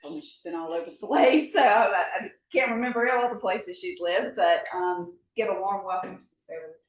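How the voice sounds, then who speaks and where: A woman's voice: mid-range voice, fairly quick. Woman introducing a speaker from across an echoey room. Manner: chatty, hesitant with ums. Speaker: program chair introducing a speaker. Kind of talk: introduction at a meeting. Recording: distant echoey room with faint noise.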